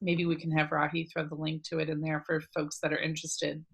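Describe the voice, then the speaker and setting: A woman's voice: sits low, completely flat delivery, brisk, breathy edge. A middle-aged woman moderating a video-call interview, asking questions in a friendly, hesitant way with many ums. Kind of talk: webinar moderating.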